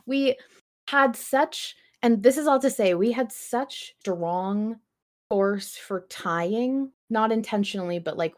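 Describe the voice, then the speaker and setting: A woman's voice: a little high, slow pace. A woman hosting a podcast, talking casually in a chatty, explanatory way with some emphasis. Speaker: podcast host.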